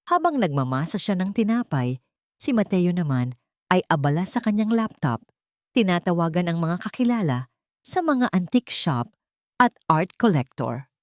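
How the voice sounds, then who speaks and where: A woman storyteller delivers dramatic scripted narration. Her voice sits a little low and moves expressively through a wide pitch range, recorded close and dry. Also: sing-song, moderate pace, darkish tone, no room sound.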